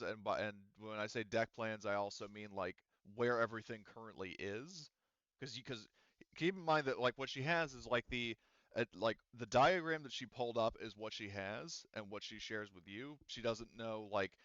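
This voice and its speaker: Rambling male game master, smooth dry voice. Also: mid-range voice, fairly bright tone, no echo, lo-fi sound. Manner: rambling, thinking aloud with many "um" and "like". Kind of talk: explaining rules and setting in a tabletop game.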